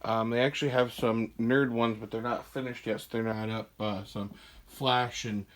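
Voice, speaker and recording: A man hosting a podcast, casually walking through a small craft store and describing it, with relaxed, rambling talk full of fillers. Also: medium pitch, normal speed. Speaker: podcast host giving a store tour. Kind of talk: casual walkthrough narration.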